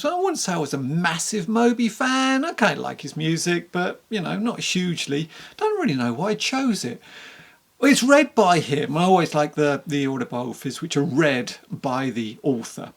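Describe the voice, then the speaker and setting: Book reviewer, casual, creaky fry, candid, rambling, conversational delivery. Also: medium pitch, sing-song, normal speed. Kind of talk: casual spoken review to camera.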